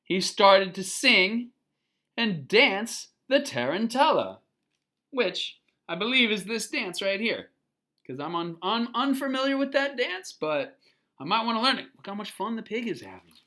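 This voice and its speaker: A storybook reader giving an expressive children's read-aloud, pausing to explain and ask questions. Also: medium pitch.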